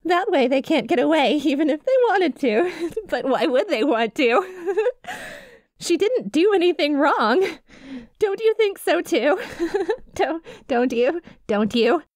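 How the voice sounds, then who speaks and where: A high, sweet voice of a lovesick young woman played by an actress in an intimate ASMR roleplay. She is nervous and clingy, swinging between tender pleading and quiet menace. The sound is very dry, with no room around it. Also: sing-song, takes it slowly, no echo, full character voice. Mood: unhinged pleading.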